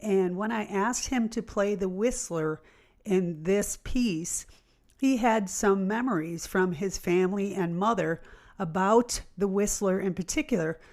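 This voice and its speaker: A woman hosting, giving an informal introduction in a chatty, deliberate way. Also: mid-range voice, darkish tone. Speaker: host and theater company director.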